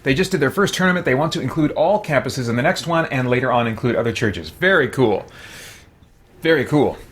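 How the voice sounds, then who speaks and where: Casting: male gaming streamer, mid-pitched, informal let's-play chat, musing aloud as he goes. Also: animated, fairly quick, a bit bright, husky.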